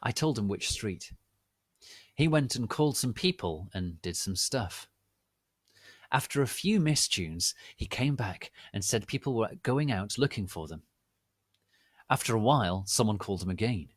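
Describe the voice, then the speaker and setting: A man narrating a comic story in a theatrical, deliberate, dramatic reading style. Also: on the low side, lively intonation, breathy edge, no echo, studio quality.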